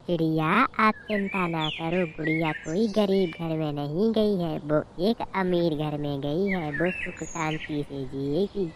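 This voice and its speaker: Cartoon bird, female actor: insistent, breezily persuasive. Slightly low voice, clearly noisy track with chirping. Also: a little slow, hardly any pauses. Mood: reassuring, persuasive. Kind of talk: Hindi cartoon dubbing.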